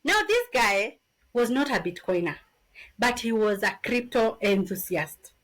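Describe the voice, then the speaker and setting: A woman in her thirties from Kenya with a Kenyan accent, a podcast guest telling her story in an animated, casual way. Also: on the high side, unhurried. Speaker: podcast guest, business founder.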